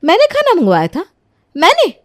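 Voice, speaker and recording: A woman's voice: very high voice, huge pitch swings, moderate pace, voice pushed up, heavily acted. A woman voicing an insolent, scheming young daughter-in-law in a moral story, sarcastic and defiant in manner. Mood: indignant, accusing.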